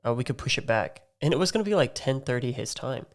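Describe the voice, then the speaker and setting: An Australian man with a mid-range voice and a strongly gravelly edge, hosting a podcast interview. He talks in a friendly, loose way, with lots of fillers. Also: mid-range voice, expressive, fast talker. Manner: friendly, laughs easily, many fillers. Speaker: podcast host. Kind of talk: casual interview chat.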